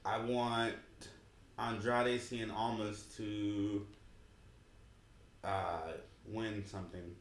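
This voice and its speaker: A man's voice: fairly low pitch, flat delivery, extremely slow, pauses often, roomy sound. A man co-hosting a casual podcast, speaking in a laid-back, teasing way. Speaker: podcast co-host.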